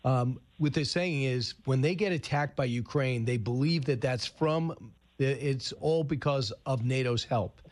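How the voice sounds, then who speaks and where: A man's voice: mid-range voice, measured pace. Conversational male radio interviewer. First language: English. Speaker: radio show host and interviewer. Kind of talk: live current-affairs interview.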